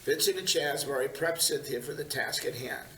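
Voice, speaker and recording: Woman narrator, plain script reading, bright voice, echoey room, distant mic. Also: low-pitched, fairly quick, slight background noise. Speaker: narrator reading stage directions. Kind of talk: table read narration of a screenplay. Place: large meeting room.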